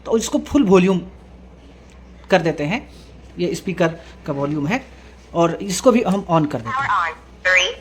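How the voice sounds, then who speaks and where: A man presenting a hands-on tech tutorial, explaining step by step in a halting, repetitive way. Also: high-pitched, animated, a bit bright. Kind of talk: hands-on product demonstration. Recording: clearly noisy room recording with ambience.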